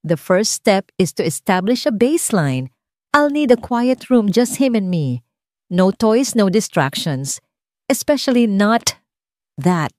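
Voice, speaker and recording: A woman voicing a calm, emotionless male psychiatrist in his late forties in an audio drama story. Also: medium pitch, dry recording, clean studio sound, voice pushed up. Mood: clinical, commanding. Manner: calm, emotionless, measured, repetitive.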